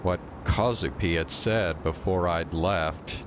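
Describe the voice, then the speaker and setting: Pes sesli, ağır konuşan erkek yayıncı. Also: male voice, deep voice, slow, dull audio, noisy background. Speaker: streamer. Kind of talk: live read-aloud narration of a visual novel.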